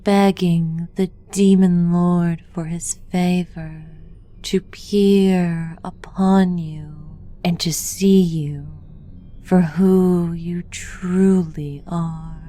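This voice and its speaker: Very slow, mellow woman guiding meditation. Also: lowish voice, fairly even intonation. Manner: hushed, deliberate, incantatory delivery. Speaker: guided meditation narrator. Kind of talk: slow guided meditation, scripted narration.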